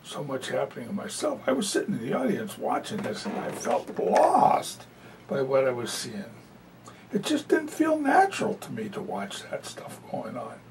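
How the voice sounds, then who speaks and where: A man interpreting a talk aloud, picked up from a distance in a hall with a faint low rumble behind him. His voice has a gravelly edge and moves lively up and down. He talks in a loose, conversational way, full of fillers. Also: moderate pace. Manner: conversational, many "you know" fillers. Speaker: sign language interpreter voicing a presenter. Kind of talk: live spoken interpretation of a talk.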